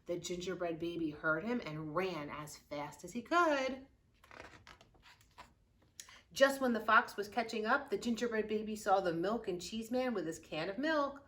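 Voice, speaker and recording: Bright-voiced woman reading a children's story. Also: medium pitch. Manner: expressive, dramatic storytelling with drawn-out words. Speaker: youth services librarian reading aloud. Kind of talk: children's picture book read-aloud.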